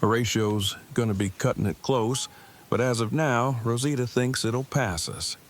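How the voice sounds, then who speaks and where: A man's voice: mid-range voice, normal speed, slight background noise, natural voice. A man playing a polite, pedantic man with formal, wordy speech in an audio drama. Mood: measured. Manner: formal, verbose, precise wording. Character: polite, pedantic, formal-spoken man, easily embarrassed game master. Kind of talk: scripted audio drama acting.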